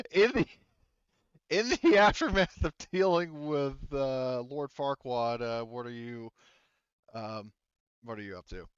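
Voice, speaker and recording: A man working as game master, narrating a tabletop roleplaying game in a halting, informal way. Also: mid-range voice, expressive, clear, resonant tone, no echo, dull audio. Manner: halting, informal, talks around points.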